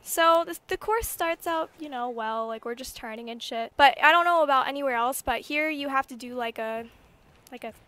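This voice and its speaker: A woman of eighteen with a high, bright voice chats casually while gaming. She tells personal stories in an animated, rambling way, repeating herself and swearing freely. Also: normal speed, no room sound. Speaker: gaming YouTuber. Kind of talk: casual personal storytelling over gameplay.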